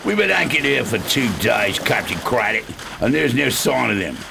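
Ship's mate, radio play, male actor: very creaky, gruff, lively pitch swings. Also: moderate pace, noisy background. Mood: uneasy. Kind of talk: radio drama acting.

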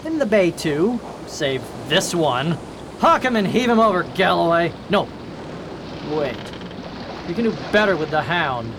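A gloating, cruel pirate captain in a radio play. The voice is high for a man, theatrical and taunting, over clear background noise. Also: very animated, measured pace, exaggerated voice. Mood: callous. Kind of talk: pirate radio drama acting.

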